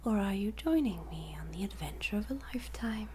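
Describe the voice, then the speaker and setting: A woman's voice: mid-range voice, lively intonation, brisk, darkish tone, a little hiss or hum, close to an everyday voice. A woman playing a smug, playful female supervillain who controls minds, speaking mostly in a teasing whisper in an audio roleplay.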